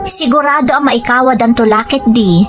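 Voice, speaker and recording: A woman's voice: a little high, normal speed, music in the background, lightly acted. A woman playing a determined wife and mother in a dramatized story, earnest and persuasive. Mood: reflective, troubled. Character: determined wife and mother set on working abroad. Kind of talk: dramatized first-person storytelling with dialogue.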